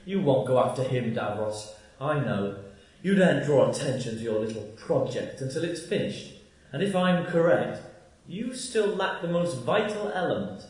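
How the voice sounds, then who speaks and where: A man's voice: medium pitch, lively intonation, moderate pace, darkish tone, natural voice. A man playing a clever, defiant hero in a radio drama, speaking in a dry, taunting, dismissive manner. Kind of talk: amateur radio drama acting. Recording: very echoey chamber sound.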